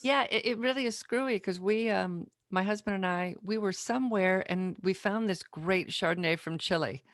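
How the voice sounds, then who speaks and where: A woman's voice: mid-range voice, normal speed, no echo, clean studio sound. A woman hosting a podcast, interviewing her guest in casual conversation.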